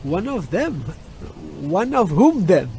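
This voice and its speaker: An actor in a comic radio drama playing an excitable, nervous immigrant cab driver who stammers and repeats himself. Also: male voice, high voice, slow pace, background noise, heavily acted. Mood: bewildered.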